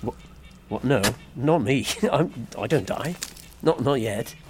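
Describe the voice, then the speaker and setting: A man's voice: medium pitch, lively intonation, takes it slowly, background noise. An actor playing a bewildered, nervous man in an audio drama, groggy and stammering as he talks. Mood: nervous, confused. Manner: hesitant, stammering. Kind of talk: naturalistic audio drama acting.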